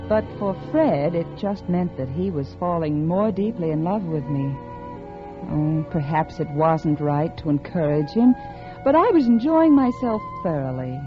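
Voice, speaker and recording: An actress playing a restless married woman confessing a secret affair in polished, intimate 1940s radio drama style. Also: on the low side. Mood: relieved, exhilarated. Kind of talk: 1940s radio drama acting, first-person confessional narration. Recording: dull old radio recording with music.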